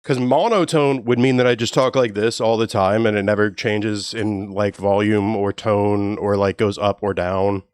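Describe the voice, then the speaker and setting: A young man with a low, even voice, chatting casually and swearing freely with his live stream chat. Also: normal speed, hardly any pauses, smooth, no room sound. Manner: laid-back, profane, joking with chat.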